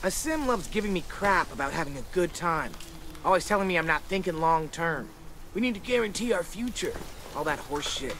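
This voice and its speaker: High-pitched, cocky teenage joker. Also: male voice, lively intonation, a little fast, a bit bright, a little hiss or hum. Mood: upbeat, teasing. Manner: playful, theatrical, slangy. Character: cheerful, cocky, joking teenage boy survivor. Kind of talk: video game voice acting.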